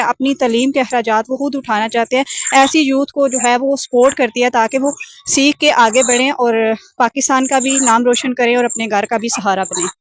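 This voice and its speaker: Female sales coach, higher-pitched voice, closely dry sound, explanatory and wordy call pitch. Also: no room sound. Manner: wordy, instructive, mixes in many English terms. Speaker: sales coach pitching an online training course.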